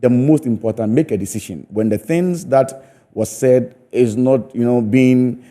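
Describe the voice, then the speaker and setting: A man's voice: mid-range voice, unhurried, mellow. A Ghanaian man speaking as a comedian guest in a conversational TV interview, emphatic and often repeating his words.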